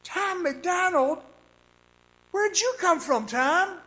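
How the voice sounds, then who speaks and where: An actor playing an anxious, halting miner trapped underground in a suspense radio drama. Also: male voice, extremely high pitch, expressive, sharp tone, heavily acted. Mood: panicky, pleading. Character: frightened trapped miner, jittery, recounting his ordeal. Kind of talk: first-person suspense radio drama acting. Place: performing arts center stage.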